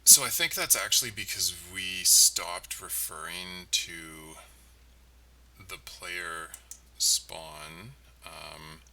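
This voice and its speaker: A man giving casual commentary as he codes on a livestream, thinking aloud in halting phrases. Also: low voice. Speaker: game developer, livestream host. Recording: clearly noisy, distant mic, keyboard clicking. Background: typing, mouse clicks, steady noise.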